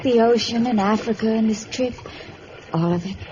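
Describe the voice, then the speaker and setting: An actress playing an earnest young sweetheart in an old radio drama, with a romantic, melodramatic delivery. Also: female voice, fairly high pitch, moderate pace, natural voice. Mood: thrilled. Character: lovely young woman performer, enthusiastic yet dutiful sweetheart. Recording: muffled, noisy old radio recording.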